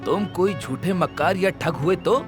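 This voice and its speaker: A man voicing a clever, witty royal courtier in an animated story, playful and persuasive. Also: high voice, moderate pace, natural voice. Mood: explaining. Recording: background music under voice.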